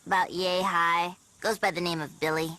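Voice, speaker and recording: A woman voicing a bossy, cynical young girl in a cartoon, deadpan and impatient. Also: fairly low pitch, moderate pace. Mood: brisk. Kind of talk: cartoon voice acting. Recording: loud music under the voice.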